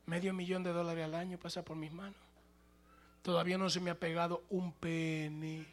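A male pastor preaching a sermon, moving between plain conversational talk and loud, shouted passages. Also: on the high side, level tone, moderate pace.

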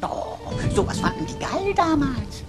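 Cheeky robot sidekick, high and super animated, florid mock-pompous speeches, sci-fi radio drama with loud music behind. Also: female voice, unhurried. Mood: showy, chatty. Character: cheeky, theatrical talking computer sidekick, servile and mocking. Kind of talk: German sci-fi radio play acting.

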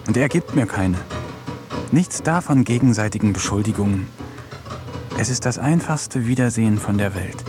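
A man's voice: on the low side, animated, moderate pace, music in the background, lightly acted. A man playing a lonely, sardonic village eccentric who reads his diary in a dry radio-play narration with mocking asides. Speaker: narrator and lead.

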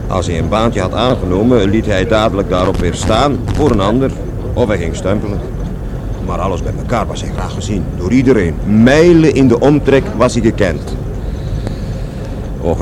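An actor playing a bitter grown working-class son in a radio drama, talkative and hesitant, repeating himself as he goes. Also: natural voice. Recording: vehicle noise under the voice. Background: loud rumble of a vehicle.

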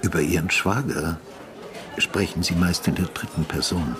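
Radio drama: actor as retired homicide investigator, older male, deep voice, measured, sober, quietly probing questioner. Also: a crowd in the background, lightly acted. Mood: calm, probing. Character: retired homicide detective, older man, patient, probing, calm. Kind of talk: naturalistic German radio drama acting.

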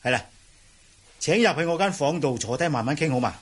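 A man's voice: on the high side, a little fast, breathy edge. A man playing an earnest young nobleman hero in a Cantonese martial-arts radio drama, theatrical and exclamatory. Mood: friendly, eager.

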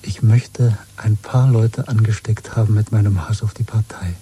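An actor playing a weary, bitter, quietly defiant dissident in a radio drama, husky and intimate, speaking slowly and broodingly. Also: male voice, on the low side, fairly even intonation, a little hiss or hum, close to an everyday voice. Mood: intense, hushed resolve. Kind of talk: 1970s German radio play acting.

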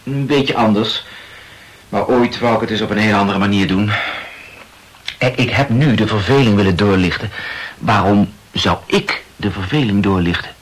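An actor playing a pensive male novelist in a Dutch radio play, explaining his ideas in an insistent, musing way with a husky voice. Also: fairly low pitch, moderate pace, pauses often, natural voice, poor audio. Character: Neumann, pensive male novelist theorizing earnestly about his work.

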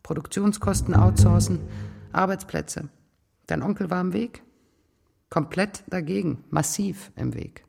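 A woman's voice: fairly low pitch, a little slow, gravelly, dark tone, a little hiss or hum. An actress playing a cold, controlling older businesswoman in a radio drama, speaking in clipped, commanding phrases. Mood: calculating, persuasive. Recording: music behind the voice. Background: music.

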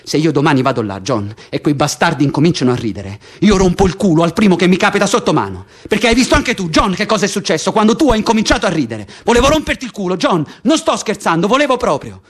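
Hot-headed prisoner, high swooping voice. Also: male voice, very animated, quick pace, few pauses. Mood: pleading, warning. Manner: vehement, coarse, repeating himself in agitation. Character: hot-headed, stubborn, foul-mouthed male political prisoner. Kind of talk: theatrical radio drama acting.

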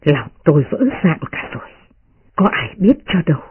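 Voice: mid-range voice, expressive, normal speed, slightly rough, narrow, tinny audio. A performer voicing an impulsive young master of the household, petulant and insistent, in a storybook reading. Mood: exasperated.

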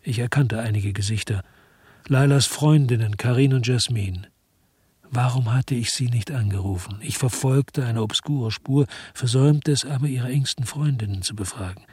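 An actor playing a disillusioned, guilt-ridden policeman who narrates a radio drama in a brooding, laconic way with a husky voice. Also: mid-range voice, normal speed, a little mellow, no room sound. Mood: brooding regret. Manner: brooding, understated. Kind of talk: radio drama first-person narration and dialogue.

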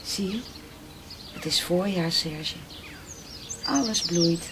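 A tender young woman nursing her beloved in a radio drama. Her voice sits a little low, soothing and protective, with clear birdsong and ambience around it. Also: slow pace, background noise. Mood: gently encouraging. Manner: hushed, soothing, intimate. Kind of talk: Dutch radio drama acting.

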